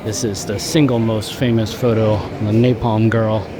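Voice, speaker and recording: A man chatting casually while filming a travel vlog outdoors in a city, thinking aloud in a relaxed way. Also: on the low side, moderate pace. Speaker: travel vlogger. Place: outdoors in a busy city street. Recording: street noise behind. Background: traffic and city ambience.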